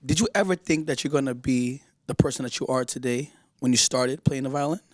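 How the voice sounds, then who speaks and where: A man's voice: mid-range voice, a little fast, no echo. A man hosting a radio interview show, playful and hyped, sometimes stumbling over his words. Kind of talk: casual interview chat.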